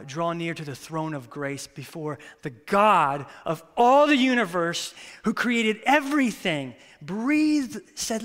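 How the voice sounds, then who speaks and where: Brief: thirties male pastor, high bright voice, animated storyteller, church reverb. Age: 30s. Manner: animated, storytelling with acted-out quotes. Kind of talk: sermon with prayer and stories. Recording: long reverb of a church hall.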